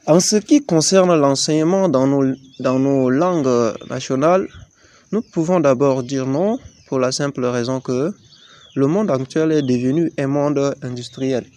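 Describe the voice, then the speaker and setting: A young man from Chad, a high school student presenting his assignment, hesitant with fillers. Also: a little slow, warm tone.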